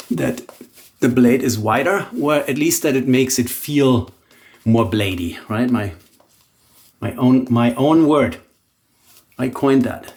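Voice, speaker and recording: A middle-aged man from Germany, a native German speaker with a German accent, talking casually through a shaving demonstration and thinking aloud as he goes. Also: medium pitch. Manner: relaxed, thinking aloud with frequent restarts. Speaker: shaving vlogger. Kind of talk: casual product demo chat.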